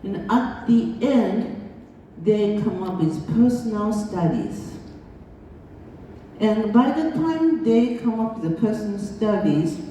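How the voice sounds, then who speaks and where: A Japanese woman, speaking English as a second language, gives a slow, chatty conference lecture and laughs along as she talks. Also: mid-range voice, mellow, slight background noise. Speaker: international school board chair giving a lecture. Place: large conference hall. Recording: echoey hall, voice heard from far off.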